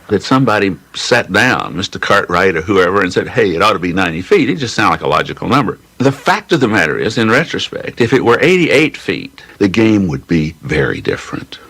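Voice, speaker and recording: A man's voice: fairly low pitch, very animated, brisk, fairly bright tone, breathy edge. A man talking reflectively, musing and explaining his point as if in an interview.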